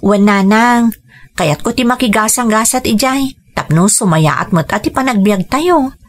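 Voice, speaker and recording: A woman's voice: on the high side, animated, a little slow. A young woman playing an earnest, hopeful daughter, respectful to her parents, in an Ilocano radio drama. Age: young adult.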